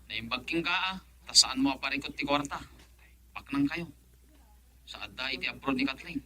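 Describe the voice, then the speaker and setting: An actor with a Filipino accent playing a brash, taunting drinking buddy in a radio drama. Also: male voice, mid-range voice, normal speed, sharp tone. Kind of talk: Ilocano radio drama acting.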